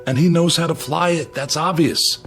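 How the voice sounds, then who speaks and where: An actor playing an earnest, uncertain army major in a radio drama, speaking in a measured, deferential, thoughtful way. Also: male voice, a little high, a bit bright. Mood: businesslike, intrigued. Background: music.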